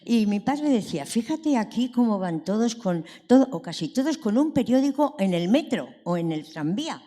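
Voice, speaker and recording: A woman's voice: a little high, sing-song, normal speed, warm tone. An elderly woman from Barcelona with an accent from Spain, speaking from the audience and telling memories in a digressive storytelling way. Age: 70s or older. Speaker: audience member. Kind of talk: spoken personal reminiscence. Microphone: handed audience microphone. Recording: echoey hall, long reverb.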